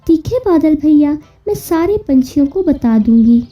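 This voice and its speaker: A woman's voice: sits high, expressive, moderate pace, mellow, pitched above the performer's own voice. A woman voicing a small, polite, earnest mother sparrow in a children's cartoon story. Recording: muffled audio with music behind.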